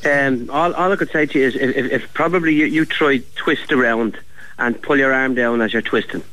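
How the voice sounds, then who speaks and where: A man's voice: medium pitch, a little fast, bright, forceful tone, no room sound. An Irish man chatting casually on a phone call, helpful and easily amused as he gives advice. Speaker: chimney sweep taking a phone call.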